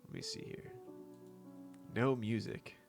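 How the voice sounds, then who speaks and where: A man's voice: on the low side, huge pitch swings, slow, vocal fry, music in the background. A man hosting a casual live stream, talking with viewers while he builds something. He sounds congested with a stuffy nose, and he is chatty and laughs often.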